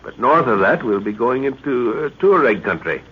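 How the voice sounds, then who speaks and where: An actor playing a seasoned expedition leader and father in an old radio drama, speaking with deliberate authority. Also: medium pitch, very animated, close to an everyday voice. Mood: measured, determined. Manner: authoritative, deliberate, thoughtful hums. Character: seasoned, commanding expedition leader and father, middle-aged or older. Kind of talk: 1940s radio acting. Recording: noisy, dull old radio recording.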